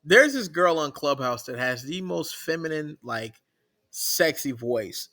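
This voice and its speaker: A man's voice: a little high, very animated, unhurried, sharp tone. A man co-hosting a casual podcast, rambling through stories and opinions. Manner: rambling, emphatic storytelling. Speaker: podcast co-host. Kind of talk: casual crude banter.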